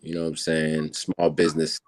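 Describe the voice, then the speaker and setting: A man's voice: rumbling low, fairly quick, no echo. A man in his thirties from Senegal, a native Wolof speaker, chatting casually as an interview guest with many fillers. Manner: relaxed, rambling with many fillers. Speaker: guest musician.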